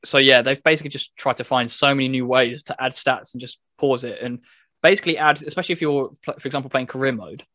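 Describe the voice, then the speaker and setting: A man's voice: mid-range voice, quick pace, sharp tone, no echo, studio quality. A man co-hosting a gaming podcast, chatting casually and explaining things step by step. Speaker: podcast co-host reviewing a video game. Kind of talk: casual chat.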